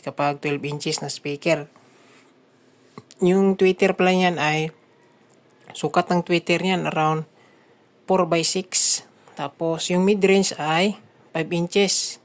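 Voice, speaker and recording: A Filipino woman with a fairly low voice narrates a hands-on how-to video. She speaks in a casual, conversational way and often addresses her viewers as a group of friends. Her delivery is that of a DIY builder explaining the work step by step. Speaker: DIY speaker-box builder and vlog host.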